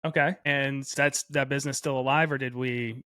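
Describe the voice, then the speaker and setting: A man's voice: mid-range voice, fairly even intonation, moderate pace, bright, forceful tone, no room sound, studio quality. A man hosting a podcast, asking loose, rambling questions with fillers. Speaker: podcast host. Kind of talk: interview questions.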